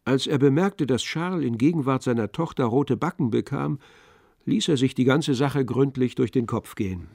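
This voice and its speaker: A man narrating a literary radio play in a measured, composed manner. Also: medium pitch, vocal fry, a little mellow, no echo. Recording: dry studio with faint music.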